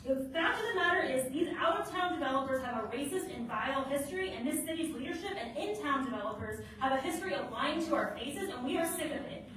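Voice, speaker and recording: A woman giving a forceful, accusatory public comment in a city council chamber. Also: sits high, animated, fast talker, hardly any pauses, slight background noise. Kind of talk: impassioned public comment speech. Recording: echoey hall from far off.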